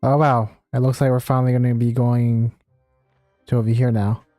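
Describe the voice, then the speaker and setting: Streamer: male, mid-pitched, dark relaxed tone, casual live gameplay chat, faint music behind. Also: moderate pace, no room sound. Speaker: game streamer.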